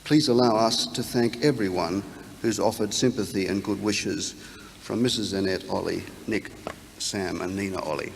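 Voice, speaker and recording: Male, lowish pitch, solemn measured statement reader, big reverberant space. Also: creaky. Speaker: speaker reading a family statement. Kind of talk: formal read statement. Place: large town hall. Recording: reverberant hall, background noise, distant sound.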